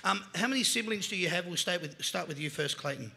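Australian male host, middle-aged, bright sharp gravelly tone, cheery live-stage quizzing. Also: high-pitched, animated, quick pace. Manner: cheery, joking, quizzing guests. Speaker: panel show host. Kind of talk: live panel show hosting. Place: indoor venue stage with a live crowd. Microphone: handheld mic.

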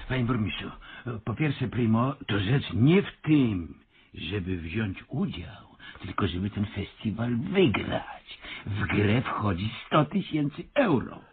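Cocksure, swooping comic schemer. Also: male voice, on the low side, big pitch swings, slow, slight background noise. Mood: sly, self-assured. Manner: condescending, lecturing, mock-erudite. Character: cocksure male schemer posing as avant-garde theatre expert. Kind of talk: Polish radio play comedy acting. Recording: slightly muffled, lo-fi radio recording.

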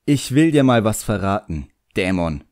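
Man doing a young apprentice wizard boy, sullen, haughty, muttering, lively pitch movement, storybook character. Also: moderate pace. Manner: muttering, defiant. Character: sullen, proud, vengeful boy magician apprentice.

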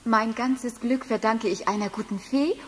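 A woman playing a sweet, gentle young fairy-tale maid in a children's audio play. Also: on the high side, a little slow, in a large hall, slight background noise, natural voice. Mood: happy, tender.

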